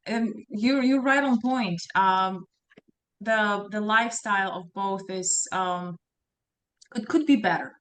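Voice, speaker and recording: A woman guest on a video call answering in a careful, measured way with many fillers. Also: medium pitch, fairly bright tone. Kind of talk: casual video-call chat.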